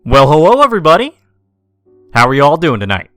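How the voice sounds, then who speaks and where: A man voicing a cheerful animatronic mole who hosts a kids' stage show in a peppy, booming way with a Mexican-American accent. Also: huge pitch swings, fast, a bit bright, no room sound, faint music behind. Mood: upbeat, showy.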